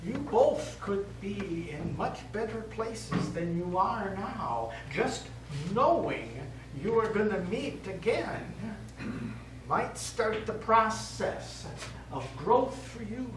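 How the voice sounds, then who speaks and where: Stage priest, male actor: gentle, pastoral, measured; animated intonation, heard from far off in an echoey room. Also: sits high, heavily acted. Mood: consoling. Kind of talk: stage play acting. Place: theatre studio stage. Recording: echoey stage, distant mic, noisy.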